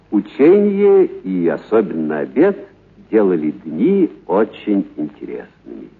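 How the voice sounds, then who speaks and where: A man's voice: lowish voice, breathy edge, narrow, tinny audio. A man narrating a literary story for radio expressively and theatrically, lingering on certain words.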